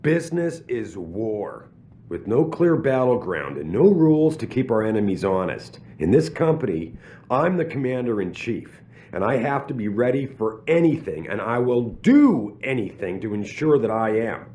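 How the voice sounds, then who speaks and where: An actor playing a proud, evasive middle-aged company boss in a video game, speaking emphatically with drawn-out stresses. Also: male voice, mid-range voice, very animated, mellow, close to an everyday voice. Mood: steely, commanding. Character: commanding, evasive, proud corporate boss man. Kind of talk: video game cutscene acting.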